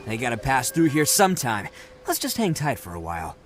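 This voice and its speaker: Brash oni brawler. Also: male voice, medium pitch, wildly swooping pitch, fairly quick. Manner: swaggering, brash. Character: brash cocky male oni gang leader.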